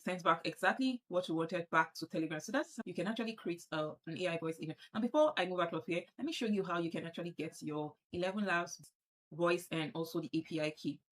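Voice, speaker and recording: A Nigerian woman with a Nigerian accent walking viewers through a software setup on screen, often repeating herself as she clicks. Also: fairly low pitch, slight background noise, rough recording. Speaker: tutorial presenter. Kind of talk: screen-share software tutorial.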